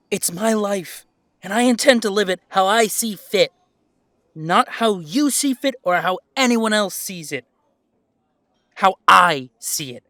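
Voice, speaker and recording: A man acts out a passionate young werewolf son in an audio drama. The voice sits high and is recorded dry, with emphatic, forceful delivery that refuses to yield. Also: no room sound, full character voice.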